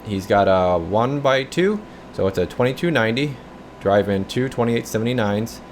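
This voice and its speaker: Low-voiced man demonstrating gear over room hum. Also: moderate pace. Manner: methodical, matter-of-fact, technical. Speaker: radio technician, channel host. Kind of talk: casual hands-on equipment demo narration. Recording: steady room hum and background noise.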